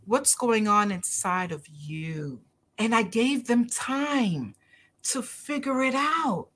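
A woman's voice: on the high side, expressive, slow pace, a bit bright. Woman from barbados, middle-aged, doctor on a podcast, earnest, emphatic. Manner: earnest, emphatic, repeats key phrases. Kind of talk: conversational interview.

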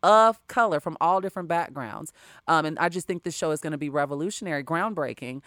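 A woman's voice: sits low, fairly quick, fairly bright tone, no echo. A woman hosting a casual podcast chat, speaking emphatically and with sass into a close studio mic. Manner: emphatic and sassy. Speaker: podcast host.

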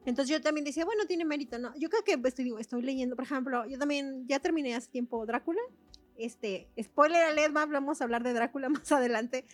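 A woman's voice: sits high, a little fast, faint music behind. A young woman with a Mexican accent, co-hosting a casual book chat, slangy and chatty with laughs between words. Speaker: podcast co-host.

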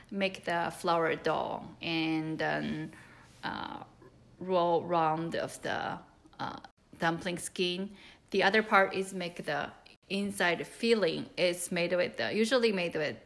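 Halting, gravelly middle-aged woman, slow. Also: lowish voice, fairly bright tone. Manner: halting, many fillers, nonnative grammar. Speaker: mother of a host, interviewed. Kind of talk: recorded interview explaining a recipe.

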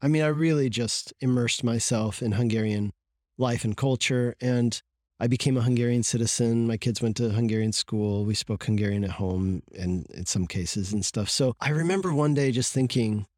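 A man's voice: medium pitch, moderate pace, darkish tone, no echo, studio quality. Reflective man telling a story. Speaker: former missionary pastor. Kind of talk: podcast conversation.

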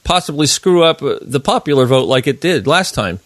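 A man's voice: fairly high pitch, expressive, normal speed, dry recording, clean studio sound. An American man in casual conversation, agreeing and thinking aloud reflectively.

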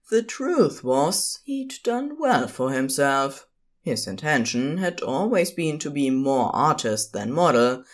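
A narrator reading scripted fan fiction expressively, as in an audiobook. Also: mid-range voice. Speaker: podfic narrator.

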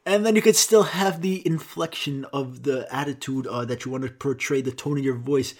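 A man's voice: medium pitch, normal speed. A young man who grew up speaking Greek, rambling casually and swearing while answering viewer questions. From United States. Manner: rambling, profane, self-mocking. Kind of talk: casual solo Q and A.

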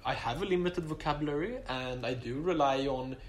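Hesitant man speaking his third language. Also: medium pitch. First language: not English; English is his third language. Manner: hesitant, many restarts and fillers, measured. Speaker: interviewee, Oxford applicant. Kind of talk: casual interview answers.

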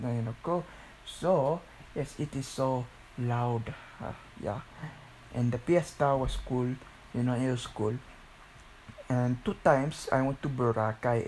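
Slow-talking teen with a Filipino accent. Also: medium pitch, a little hiss or hum, rough recording. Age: teens, about 16. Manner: repetitive, exclaiming, Filipino interjections. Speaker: video creator.

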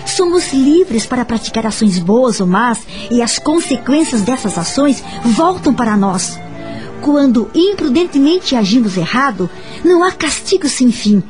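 An actress with a Brazilian accent playing a calm, kind married woman who counsels patiently in a radio soap opera. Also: fairly high pitch.

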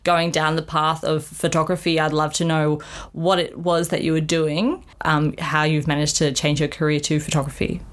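A woman's voice: low voice, little pitch movement, moderate pace, few pauses. Curious woman host asking questions. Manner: curious, asks follow-up questions. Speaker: podcast host. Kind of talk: casual interview.

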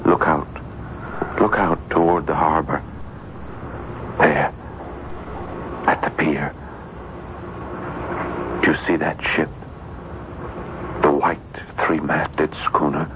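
An actor playing a desperate, obsessed writer in a 1970s radio drama, speaking with urgent intensity. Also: male voice, extremely low pitch, level tone, slow pace, long pauses. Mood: grim, urgent. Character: troubled writer, fearful, desperate, obsessed, grown man. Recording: muffled vintage radio recording, faint hiss.

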